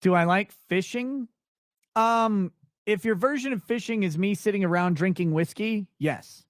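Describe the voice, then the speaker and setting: A man's voice: moderate pace, dry recording, studio quality. A man hosting a casual late-night livestream, reading out and answering viewer questions in a blunt, crude, sweary way. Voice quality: voice not deep. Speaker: livestream host.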